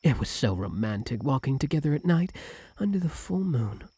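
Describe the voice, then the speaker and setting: A man playing a possessive, doting yandere fox-spirit husband in an intimate ASMR roleplay, breathy, tender and clingy with a sweetly menacing edge. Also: mid-range voice, sing-song, normal speed, mellow, no room sound. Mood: adoring. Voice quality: breathy, husky, at times whispered. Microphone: close, dry microphone.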